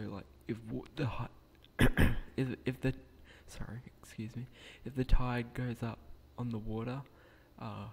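A young man talks quietly and a bit hesitantly, restarting his sentences as he narrates a game build. His voice is croaky and gravelly, mellow in tone and fairly flat in pitch. A faint hiss sits under the recording. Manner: hesitant, rambling, with frequent restarts; speaking quietly at night. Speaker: gaming channel creator.

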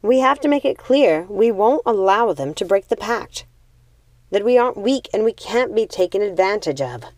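A woman voicing a calm, pragmatic young witch sister in a storybook, measured and matter-of-fact. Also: mid-range voice, no echo, voice pushed up, natural voice. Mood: coolly resolute.

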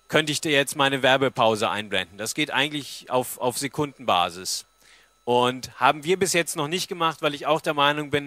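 A man's voice: on the high side, pushed and bright, no room sound. A man in his thirties giving an informal, explanatory talk on stage into a stand microphone and answering questions. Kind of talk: informal public presentation with audience Q&A. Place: stage at a book fair forum. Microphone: handheld-type microphone on a stand.

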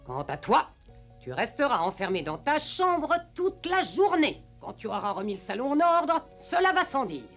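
An actress playing a stern, haughty governess in a French-dubbed cartoon, giving clipped, imperious orders. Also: female voice, high voice, sing-song, moderate pace, fairly bright tone, full character voice. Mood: indignant. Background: music.

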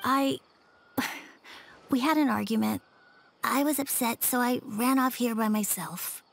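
A woman voicing a quiet, hesitant young boy in a fantasy video game. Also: a little high, a little slow, creaky. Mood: sulky. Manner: soft-spoken, hesitant. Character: quiet, shy young boy, the author's son. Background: game background music.

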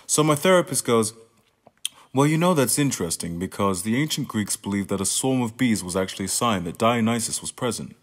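Exasperated playwright, acted by a man, swinging pitch. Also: lively intonation, fairly quick. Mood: reflective, uneasy. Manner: profane, confessional, emphatic. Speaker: student actor. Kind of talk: theatrical radio play monologue.

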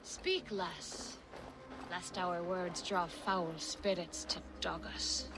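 A woman's voice: on the low side, fairly even intonation, takes it slowly, music in the background. A woman playing a solemn, cryptic Norse seeress in a video game, speaking portentously in archaic phrasing. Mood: grave, cryptic. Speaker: seer character in a video game. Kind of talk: dramatic video game acting.

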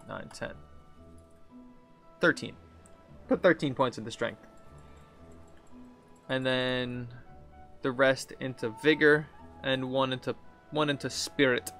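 A man's voice: mid-range voice, creaky, sharp tone. A man in his thirties giving casual video game commentary as a streamer, thinking aloud in an informal way. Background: game music.

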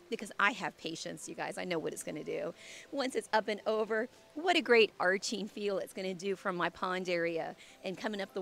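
Chatty woman with a gravelly, fading voice. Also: lowish voice, a bit bright, a little hiss or hum. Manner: chatty, enthusiastic. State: losing her voice. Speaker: landscape designer. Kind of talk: casual garden walkthrough. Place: outdoor home garden. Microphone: handheld mic.

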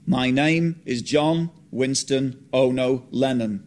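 A man voicing a man revived from the dead who breaks from composure into shouting rage. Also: mid-range voice, takes it slowly, close to an everyday voice. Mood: composed. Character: grieving, furious man revived from death.

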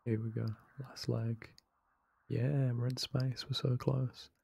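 Young man for a relaxed let's play, soft-spoken and self-deprecating, flat and mellow. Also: medium pitch, slow pace, gravelly, husky. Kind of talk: casual late-night gameplay commentary. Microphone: close desk microphone, no webcam.

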